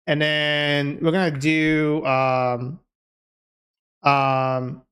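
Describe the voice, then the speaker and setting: A man's voice: on the high side, little pitch movement, very slow, fairly bright tone, clean tone. A man with a Turkish accent, a native Turkish speaker, chats casually and informally on a livestream, thinking aloud as he codes. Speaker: live streamer and programmer. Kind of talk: casual livestream chat while coding.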